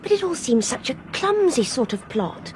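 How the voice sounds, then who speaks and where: A woman's voice: sits high, very animated, moderate pace, a little mellow. An actress playing an anxious but stubborn young Englishwoman on a train, in a British radio drama. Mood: earnest, insistent. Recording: noisy train background ambience.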